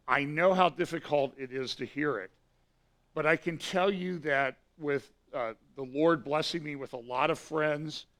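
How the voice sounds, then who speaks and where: Hoarse, raspy man giving a church talk. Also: fairly high pitch, a bit bright. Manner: conversational, with frequent fillers and restarts. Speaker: pastor giving weekly prophecy update.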